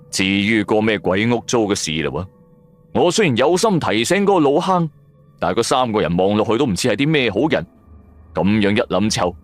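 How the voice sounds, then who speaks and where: A man narrating a first-person audio drama in Cantonese, in a dramatic scripted storytelling style. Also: fairly low pitch.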